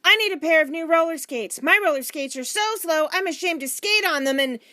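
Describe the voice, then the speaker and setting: A dramatic, demanding young girl acted by a woman from a children's storybook. The voice is high, shrill and whiny, swooping widely with urgent emphasis. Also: expressive, moderate pace, fairly bright tone, no room sound, clean studio sound. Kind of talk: children's audiobook character voice.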